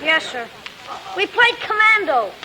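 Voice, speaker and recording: An American actor playing a guarded, wisecracking schoolboy in a 1940s radio comedy, stiffly polite or brash by turns. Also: male voice, very high-pitched, very animated, a little slow, a crowd in the background, heavily acted. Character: guarded, wisecracking schoolboy who slowly warms up. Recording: noisy, clicking old radio recording.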